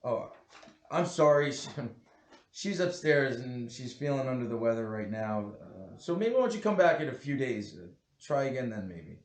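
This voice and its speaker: An actor at a table read playing a panicked, guilty husband with a nervous, hesitant way of talking. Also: male voice, mid-range voice, very animated, normal speed, lightly acted. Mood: nervous, evasive. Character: panicked, guilty husband turned killer, stammering and pleading. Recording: roomy echo with faint background noise.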